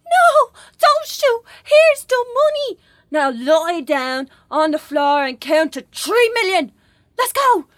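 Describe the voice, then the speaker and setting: A performer playing an excitable young boy in an audio drama, acting out cops and robbers with mouthed gunshots. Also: very high voice, takes it slowly, no echo, clean studio sound, full character voice. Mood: excited play.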